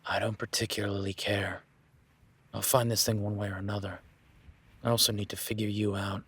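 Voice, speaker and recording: A man's voice: on the low side, level tone, brisk, breathy edge. A man playing a rugged, easygoing cowboy rancher who teasingly sizes up a new ranch hand, speaking straight to the listener in a laid-back way. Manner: teasing, laid-back, talks softly to his horse. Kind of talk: first-person audio roleplay aimed at the listener.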